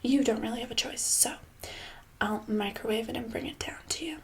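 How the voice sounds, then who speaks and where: A woman playing a smug, sinister boss in a soft-spoken ASMR roleplay, casual and condescending, with a breathy voice that often slips into a whisper. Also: on the high side, steady tone, a little hiss or hum. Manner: casual, condescending, falsely sweet, hesitant pauses. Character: smug, sinister female boss sweetly holding an employee hostage.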